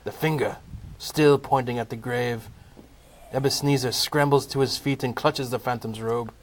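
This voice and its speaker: A man narrating a scripted comic play as a dramatic storyteller, in measured, deliberate phrases. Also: mid-range voice, steady tone, slight background noise, rough recording.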